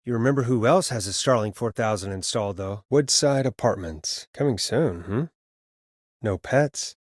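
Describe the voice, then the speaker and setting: A man's voice: lowish voice, moderate pace, no room sound, lightly acted. A man playing a sardonic, world-weary but caring late-night radio host in a video game, talking in a wry, conversational way. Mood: wry, pensive.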